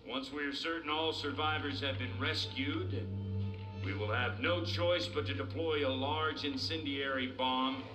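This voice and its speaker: A man's voice: medium pitch, a little slow, recorded from a distance, lightly acted. A man playing a stern, authoritative American general making a formal official statement in a video game cutscene. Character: stern male general turned defense secretary. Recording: echoey hall from afar, music behind.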